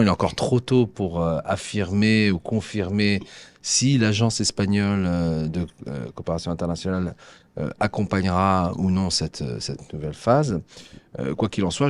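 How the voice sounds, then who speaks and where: Low-voiced man, halting formal speaker. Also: a little mellow. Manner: halting, hesitant delivery with frequent drawn-out hesitations and repeated words. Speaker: development agency representative speaking at a workshop. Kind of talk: semi-prepared formal public remarks.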